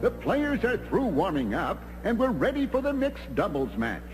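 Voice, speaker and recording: A man voicing an excitable cartoon tennis commentator, calling the play in a theatrical, exclamatory manner. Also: sits high, moderate pace, low-quality recording. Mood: announcing. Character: excitable male cartoon tennis match announcer. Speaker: sports commentator. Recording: music under the voice. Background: loud background music.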